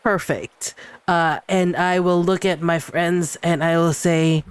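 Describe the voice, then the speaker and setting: A man's voice: on the high side, steady tone, slow pace, a little hiss or hum. A man playing a tabletop game, casually narrating what his character does as he makes it up.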